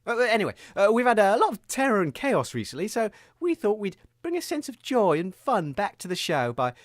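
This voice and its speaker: A man's voice: high-pitched, huge pitch swings, brisk, no echo. A man playing a hapless, flustered and hesitant radio host in a scripted comedy. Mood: cheery, hesitant.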